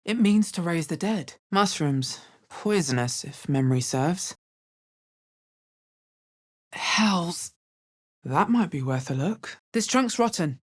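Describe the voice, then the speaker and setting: An actress voicing a guarded, practical female adventurer in a video game, with a husky voice and dry, understated delivery. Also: lowish voice, animated, slow pace, long pauses, exaggerated voice. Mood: wry, focused. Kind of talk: video game character voice lines.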